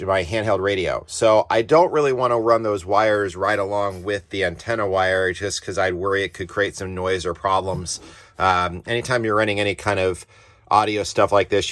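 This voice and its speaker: A man's voice: on the low side, fairly bright tone. A man hosting a casual DIY tutorial, explaining each step informally to viewers as he works. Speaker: DIY off-road channel host. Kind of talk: casual hands-on install tutorial.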